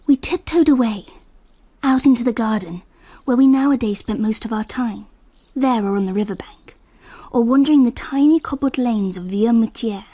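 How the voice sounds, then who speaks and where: An actress playing a reflective woman looking back on her girlhood in a radio drama, narrating in a hushed, breathy voice. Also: on the high side, moderate pace, lightly acted.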